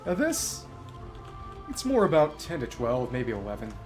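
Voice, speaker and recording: A man's voice: medium pitch, huge pitch swings, unhurried, a little mellow. A man doing live gameplay commentary as a streamer, talking analytically through tactics to himself. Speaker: game streamer. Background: music behind the voice.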